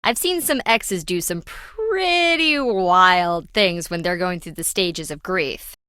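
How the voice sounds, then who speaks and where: A woman's voice: lowish voice, animated, takes it slowly, sharp tone, clean tone, dry recording, exaggerated voice. An actress playing a brash, slippery woman treasure hunter in a video game, with wry, breezy, slangy teasing. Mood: flippant.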